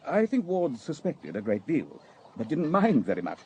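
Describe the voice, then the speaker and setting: Radio drama role: knowing, cordial male inspector, dark mellow tone, faint noise behind. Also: on the high side, huge pitch swings, normal speed. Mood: knowing, discreet. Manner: courteous, hesitant, knowing. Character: cordial, worldly male chief inspector sharing gossip discreetly. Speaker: police inspector.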